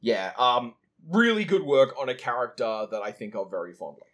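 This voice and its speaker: A man's voice: mid-range voice, sing-song, normal speed, a bit bright. Chatty man discussing a film. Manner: chatty, enthusiastic, acts out quotes. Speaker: podcast co-host.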